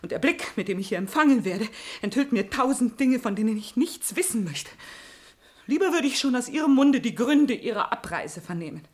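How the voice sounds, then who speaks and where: A woman's voice: on the high side, expressive, moderate pace, natural voice. An actress playing a proud, abandoned noblewoman, formal, reproachful and declamatory, in classic stage-play radio acting. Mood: wounded, reproachful. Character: proud, wronged noblewoman, abandoned by her lover.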